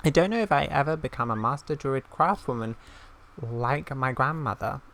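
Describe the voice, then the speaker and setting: A man reading aloud the part of a gentle young druid girl in a fantasy game, earnest, grateful and a little hesitant. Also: mid-range voice, normal speed, vocal fry, a little hiss or hum. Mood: fond, grateful.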